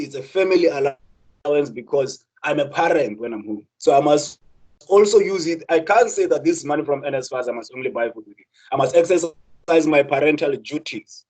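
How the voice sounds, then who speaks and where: A man's voice: on the high side, a little mellow, rough recording. A young South African man speaking earnestly, with many repeated words and restarts, as a student representative. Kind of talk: impassioned spoken talk.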